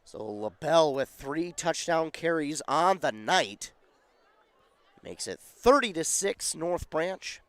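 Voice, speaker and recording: Radio play-by-play man, slightly high voice, hoarse and scratchy, energetic on big plays. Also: slow pace, no echo. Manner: excited rises on big plays. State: losing his voice, scratchy. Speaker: play-by-play announcer.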